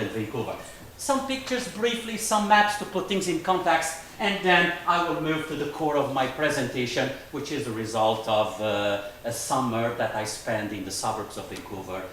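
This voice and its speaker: A man's voice: medium pitch, a bit bright, slight background noise. A middle-aged man from the Azores gives a conference talk in a lecture room. He is jet-lagged and tired, yet chatty and digressive, and he throws joking asides to the audience. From Azores, Portugal. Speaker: conference speaker, geographer.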